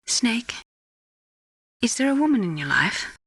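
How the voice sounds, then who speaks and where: A woman's voice: on the high side, sing-song, measured pace, a bit bright, slightly rough, no room sound, clean studio sound, heavily acted. An actress playing an earnest female scientist in a video game, questioning and probing in a dramatic style. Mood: wistful.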